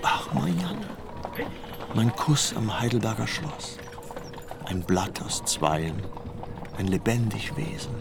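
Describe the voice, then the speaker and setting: An actor playing a wistful, grumbling poet of nearly seventy in a German radio drama, sighing and musing aloud theatrically. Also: male voice, lowish voice, animated, slow, vocal fry, long pauses, music in the background, heavily acted. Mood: tender, yearning.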